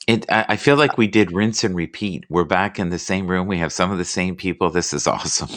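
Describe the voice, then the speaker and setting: A man hosting a casual live chat, relaxed and rambling, who reads inspirational quotes aloud slowly. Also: deep voice. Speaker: livestream host.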